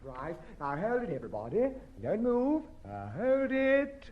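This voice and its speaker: An actor with a British accent playing a fussy, earnest amateur cameraman who speaks haltingly and precisely in an old radio sitcom. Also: sits high, huge pitch swings, heavily acted. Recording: dull, noisy old radio recording, audience.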